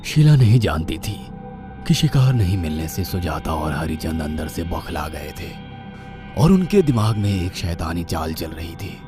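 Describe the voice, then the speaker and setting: A man's voice: sits low, big pitch swings, measured pace. A man narrating a scripted horror story dramatically, with music playing behind him. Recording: loud background music.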